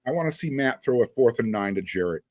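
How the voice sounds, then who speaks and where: A man's voice: mid-range voice, lively intonation, fast, darkish tone. An American man hosting a sports podcast, chatting casually and emphatically, repeating phrases for stress. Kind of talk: casual sports interview chat.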